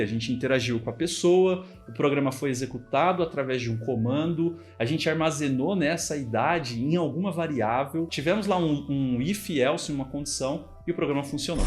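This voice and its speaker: A man with a Brazilian Portuguese accent, a programming instructor walking through code in a casual, chatty screen-recorded tutorial and often checking in with the viewer. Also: fairly high pitch. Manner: casual, chatty explaining with frequent check-ins.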